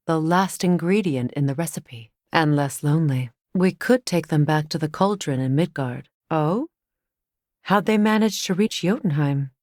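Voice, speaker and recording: Middle-aged warrior goddess, game companion, composed, dryly witty, slightly low pitch, dry studio sound. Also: female voice, no echo. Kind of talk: video game voice acting, companion lines.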